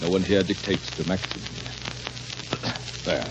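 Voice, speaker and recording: An actor in an old radio drama playing a controlling, courtly rich recluse with formal, condescending speech. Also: male voice, sits low, fairly even intonation, unhurried. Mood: imperious, smug. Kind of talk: 1930s radio drama acting. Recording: noisy, dull 1930s radio recording.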